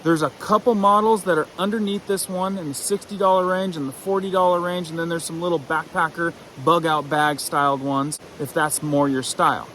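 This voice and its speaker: Higher-voiced man reviewing gear over clear background noise. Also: fairly even intonation, fairly quick, hardly any pauses, a bit bright. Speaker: product reviewer. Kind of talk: casual product review to camera, listing features.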